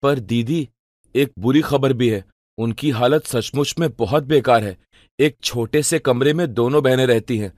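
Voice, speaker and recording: A man's voice: mid-range voice, a little slow, a little mellow, no room sound, studio quality, lightly acted. A man playing a helpful young student brother, eager and polite, in storybook cartoon dialogue. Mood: concerned.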